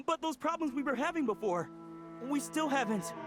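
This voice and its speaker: A man's voice: very high voice, animated, normal speed. A man playing an anxious, hesitant young experimenter in a video game, asking fretful, urgent questions. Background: music.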